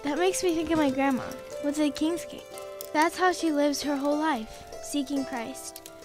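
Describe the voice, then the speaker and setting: A woman's voice: high-pitched, moderate pace, lightly acted. A young performer voicing Anne, a curious, worried young girl asking questions. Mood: earnest. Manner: questioning, earnest. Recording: music under the voice.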